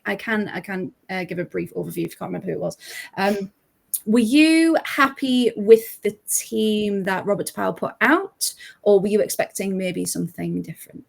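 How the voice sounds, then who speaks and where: A woman hosting a casual fan podcast, upbeat and quick to laugh, with frequent ums. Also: mid-range voice, gravelly. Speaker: podcast host.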